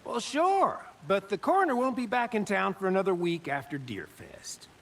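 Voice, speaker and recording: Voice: medium pitch, sing-song, moderate pace, fairly bright tone. A performer playing a chatty, obliging small-town deputy in a video game, talking in a folksy, helpful way. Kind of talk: cinematic video game acting.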